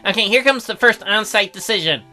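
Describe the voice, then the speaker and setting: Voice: medium pitch, a little fast, sharp tone. A let's play co-host acting out game dialogue, bantering in a mock-indignant way. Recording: background music under voice.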